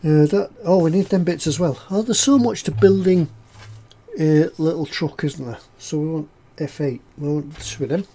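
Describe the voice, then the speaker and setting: A man's voice: fairly high pitch, normal speed, dark tone, a little hiss or hum. A man with a head cold, sounding snuffly as he chats casually on a live stream, reading out viewers' comments as he builds a scale model. He rambles in a friendly way, with lots of "uh"s and repeated words. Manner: rambling and chatty, with frequent "uh"s and self-repeats.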